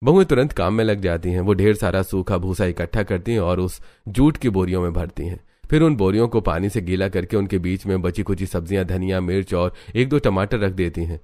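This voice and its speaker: A man's voice: low-pitched, brisk, hardly any pauses, warm tone, natural voice. A man narrating a scripted cartoon family story as the storyteller.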